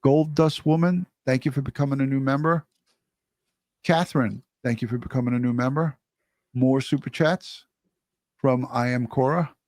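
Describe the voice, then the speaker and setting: A man co-hosts a livestream and reads viewer messages aloud in a blunt, offhand way. He swears freely. His voice is mid-pitched and recorded dry, with no room sound. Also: steady tone, normal speed, a little mellow, dry recording. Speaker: livestream co-host. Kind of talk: casual chat reading viewer messages.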